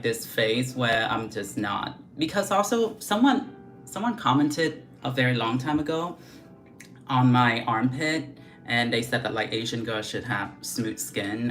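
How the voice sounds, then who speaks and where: A young woman with a Vietnamese accent mixed with Australian English, chatting frankly on a makeup livestream and reading out viewer questions. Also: extremely low pitch. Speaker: beauty vlogger doing a livestream. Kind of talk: casual livestream chat while doing makeup.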